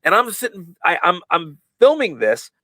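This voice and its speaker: A man being interviewed on a podcast, telling stories in a rambling way, full of fillers and restarts, and acting out quoted lines with lively voices. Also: high-pitched, moderate pace, dry recording. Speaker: guest, professional wrestler. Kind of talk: casual storytelling in a podcast interview.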